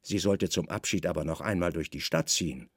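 A man's voice: a bass voice, fairly quick, slightly rough, no echo, clean studio sound, voice pushed down. An actor playing a sly, good-natured Roman spymaster in a radio drama, deferential and careful in his courteous replies.

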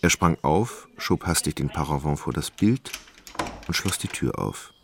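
A man's voice: a bass voice, animated, measured pace, vocal fry, slight background noise, full character voice. A man reading scripted literary narration as the composed narrator of a radio drama.

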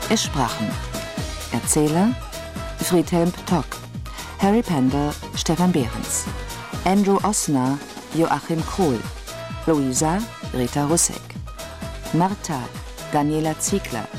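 A woman reads radio-drama credits as a scripted announcement. Her voice is low and the delivery very measured and slow, with music playing clearly underneath. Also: lively intonation. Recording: music behind the voice.

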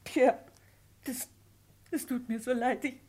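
Dark, husky, timid farm woman. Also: on the high side, fairly even intonation. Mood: contrite, pleading. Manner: halting, repeating words. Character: timid, earnest French farm woman, hesitant and anxious. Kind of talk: German radio play acting.

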